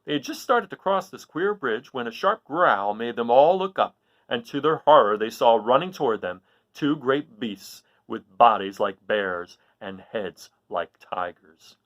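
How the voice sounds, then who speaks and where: A man narrates a children's story aloud. His medium-pitched voice moves widely up and down, and his phrasing is expressive and emphatic. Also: unhurried, no room sound.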